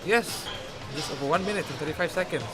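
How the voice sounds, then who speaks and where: A man playing a brisk, matter-of-fact friend who knows of looming doom, in a radio play. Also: a little high, music in the background, natural voice. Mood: brisk, unconcerned. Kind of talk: radio drama acting.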